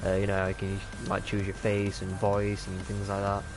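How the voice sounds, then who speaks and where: A man's voice: on the low side, monotone, normal speed, music in the background. A man with a Yorkshire accent giving rambling, casual commentary while streaming a game, swearing freely. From Yorkshire, England. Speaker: gaming streamer. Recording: clear game noise behind voice.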